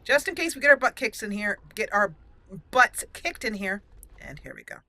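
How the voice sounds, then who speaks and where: Bright-voiced woman doing game roleplay commentary. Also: medium pitch, very animated, moderate pace, a little hiss or hum. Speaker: gaming host. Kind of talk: roleplay let's play commentary.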